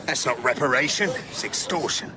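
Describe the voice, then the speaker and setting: An actor playing a cold, contemptuous Victorian gentleman villain in a radio drama, with a clipped, haughty, menacing delivery. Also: mid-range voice, fairly quick, crowd noise, natural voice, low-quality recording. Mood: grudging.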